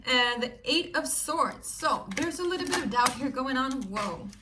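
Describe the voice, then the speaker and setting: A woman's voice: sits high, lively intonation, normal speed, recorded from a distance, noisy background. A woman from Sweden with a Swedish accent, chatting casually through a tarot reading for her viewers and often asking "you know?" and "right?". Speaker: tarot reader.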